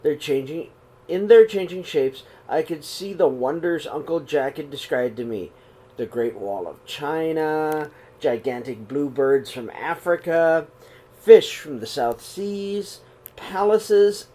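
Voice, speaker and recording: A middle-aged man reading a children's picture book aloud in a small room, slow and expressive. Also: fairly high pitch. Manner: reads slowly and expressively, voices the characters' calls. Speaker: storybook reader. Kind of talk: read-aloud storytelling for children.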